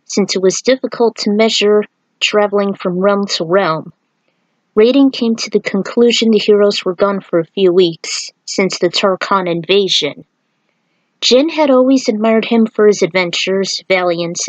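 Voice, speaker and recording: A woman's voice: medium pitch, no room sound, rough recording. A woman reading scripted fan fiction aloud as the narrator of a story.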